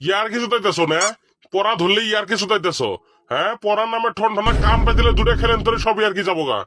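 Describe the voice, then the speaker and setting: Man acting a high-pitched scolding village elder. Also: fast, noisy background, natural voice. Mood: stern, exasperated. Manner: scolding, hectoring. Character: scolding, threatening village father and strict tutor. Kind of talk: comedy cartoon dialogue.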